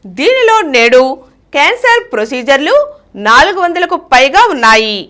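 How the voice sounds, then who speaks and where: A woman reading a news bulletin in a steady, formal newsreading cadence. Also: sits high, moderate pace. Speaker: news reader.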